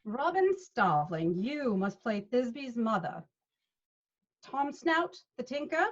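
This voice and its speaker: Woman as patient troupe leader in shakespeare comedy, highish animated voice, dull video call sound. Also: slow pace, heavily acted. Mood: directing. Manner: expressive, instructing. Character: Peter Quince, a patient, organizing male leader of amateur players. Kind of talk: Shakespeare table read over video call.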